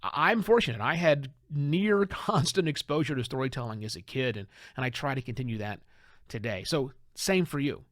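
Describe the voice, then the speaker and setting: A middle-aged man hosting a podcast, delivering a conversational advice monologue with an emphatic storyteller's delivery and dramatic pauses. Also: mid-range voice, lively intonation, a bit bright, dry recording, studio quality. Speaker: podcast host.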